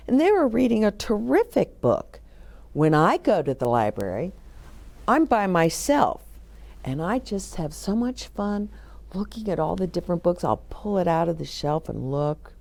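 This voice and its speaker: A woman's voice: fairly low pitch, a little mellow. An older woman hosting a children's story time, explaining things simply and expressively and putting questions to young listeners. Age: older, 60s or above. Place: small indoor set with bookshelves, seated.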